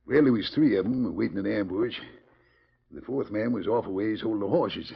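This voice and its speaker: Blustery, gravelly Old West sheriff. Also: male voice, lowish voice, moderate pace. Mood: puzzled. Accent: American, rural Western. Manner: folksy, exclamatory, sputtering. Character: excitable, blustery Old West sheriff, loyal but torn. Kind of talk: 1940s radio western acting. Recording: muffled 1940s radio recording.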